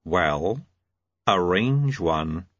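A man voicing a cold, imperious, inhuman male official who speaks in deliberate, formal, pedantic phrases, in an audiobook. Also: low-pitched, expressive, a bit bright, dry recording, highs cut off, full character voice. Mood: demanding.

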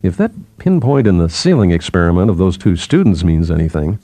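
A man's voice: low-pitched, sing-song, fairly quick, dark tone, no echo, full character voice. A man voicing a wry, nervy man resigned to looming catastrophe, in a hesitant, questioning way for an audiobook. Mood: wry, uneasy.

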